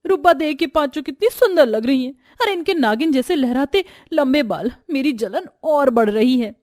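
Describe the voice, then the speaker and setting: A spiteful young village woman from a family drama story, put on by a woman narrator. She needles and taunts with jealousy. Her pitch is pushed high, and the sound is dry and close. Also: expressive, moderate pace, a little mellow, dry recording. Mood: jealous. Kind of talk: Hindi family moral-story acting.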